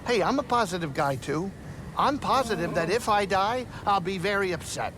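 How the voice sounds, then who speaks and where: A man's voice: high-pitched, expressive, normal speed, background noise. A man playing a wisecracking, nervous alien pilot and gambler in a video game, talking in a sardonic, complaining way. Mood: sarcastic. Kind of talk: video game cutscene acting. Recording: loud music over the voice.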